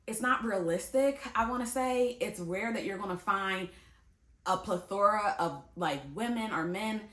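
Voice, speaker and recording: A woman's voice: mid-range voice, measured pace, fairly bright tone. A woman in her late twenties talking casually and chattily to her viewers, addressing them directly and laughing easily. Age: 20s. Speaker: vlogger. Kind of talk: casual talk to camera. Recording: echoey room.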